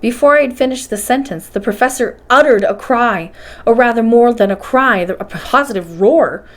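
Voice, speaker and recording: A woman reading a bedtime story aloud with expressive, carefully enunciated narration. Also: a little high, normal speed. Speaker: storybook reader.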